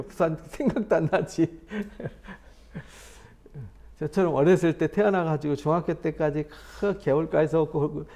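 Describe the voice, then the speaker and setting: Lively conversational male pastor, mellow tone. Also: a little high. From South Korea. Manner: conversational, animated, with laughs and rhetorical questions. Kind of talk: Bible study sermon.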